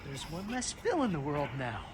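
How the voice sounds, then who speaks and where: A man's voice: on the high side, wildly swooping pitch, moderate pace, slight background noise. An actor playing a bitter, crude, petulant male blood dealer in a video game, with a whiny, aggrieved delivery. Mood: petulant, angry.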